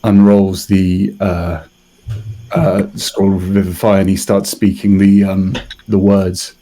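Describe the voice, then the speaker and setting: Low, husky British man, flat delivery. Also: slow, low-quality recording. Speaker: actor, tabletop player. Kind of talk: improvised narration of his character's actions.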